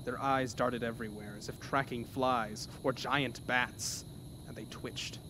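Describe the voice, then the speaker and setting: A man's voice: medium pitch, fairly even intonation, unhurried, noisy background. A man narrating a scripted horror story in a dramatic, theatrical manner.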